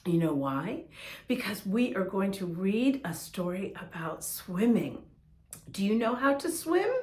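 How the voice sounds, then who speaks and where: A woman hosting a children's story time, reading a picture book expressively and chatting to young listeners in a sing-song way. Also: fairly high pitch. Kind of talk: children's read-aloud with asides to young listeners.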